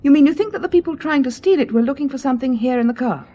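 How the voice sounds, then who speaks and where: Casting: timid, polite female secretary in radio thriller; high-pitched, deferential, inquisitive, faint background noise. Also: expressive, very fast, warm tone. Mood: curious. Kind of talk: radio spy thriller acting.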